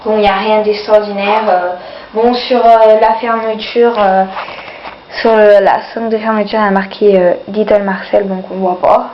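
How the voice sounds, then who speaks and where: A woman's voice: medium pitch, steady tone, moderate pace, slight background noise. A woman with a cold and a runny nose, chatting casually through a haul of her sale purchases, hesitant and full of fillers. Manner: hesitant, many fillers, keeps saying "voilà". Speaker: vlogger showing sale purchases. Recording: echoey, distant, dull lo-fi home video.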